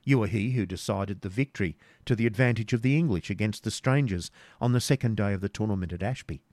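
A man's voice: fairly low pitch, quick pace, darkish tone, no room sound, studio quality, lightly acted. A man voicing a blunt, commanding woodsman outlaw who speaks old-fashioned English in a storybook reading. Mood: earnest. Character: brusque, commanding male outlaw yeoman.

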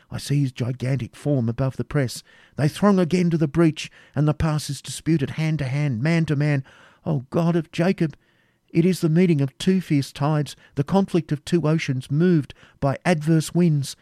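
Man voicing an urgent, high-pitched anguished young woman. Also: mellow, no room sound, studio quality, lightly acted. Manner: breathless exclamations, urgent repetition. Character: anguished young woman watching battle, fervent and pious. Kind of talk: dramatic audiobook character reading.

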